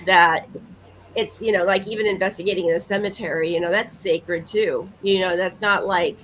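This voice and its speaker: Female co-host, slightly low voice, emphatic conversational delivery, faint noise behind her. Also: fairly even intonation, moderate pace, fairly bright tone, rough recording. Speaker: podcast co-host. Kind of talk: casual chat.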